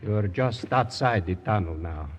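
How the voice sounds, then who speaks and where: A gruff tunnel worker. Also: male voice, fairly low pitch, expressive, a little slow. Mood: matter-of-fact. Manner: matter-of-fact, plain-spoken. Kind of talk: 1940s radio acting. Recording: noisy, dull old radio broadcast with reverb.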